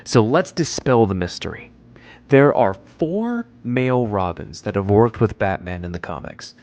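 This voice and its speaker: Low-voiced man narrating a video essay. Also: big pitch swings, moderate pace, a little mellow.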